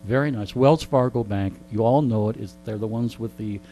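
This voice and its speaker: A man's voice: lowish voice, normal speed, dark tone. A middle-aged or older man telling casual anecdotes in a wry, joking, digressive way. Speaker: interview guest and storyteller. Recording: clear background mains hum.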